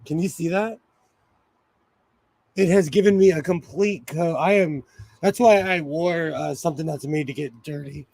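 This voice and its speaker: A man hosting a casual sewing livestream, chatty and apologetic, laughing often, his voice a little hoarse. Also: on the high side, moderate pace, darkish tone. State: slightly hoarse, losing his voice.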